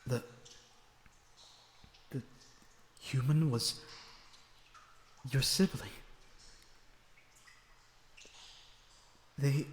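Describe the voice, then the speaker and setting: Captive vampire, male, played by a man: proud, articulate, bitterly pleading, mid-pitched, roleplay audio with heavy echo. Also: fairly even intonation. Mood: probing, subdued. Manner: formal, articulate, theatrical phrasing with halting pauses. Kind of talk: dramatic audio roleplay monologue, addressed to listener. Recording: echoey dungeon-like reverb, audible background noise.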